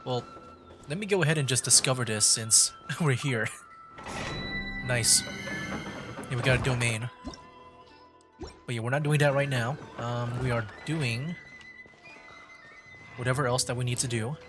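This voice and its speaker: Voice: medium pitch, measured pace, long pauses. A game streamer talks casually on a livestream, thinking aloud through each step. The delivery is conversational, with game music playing behind.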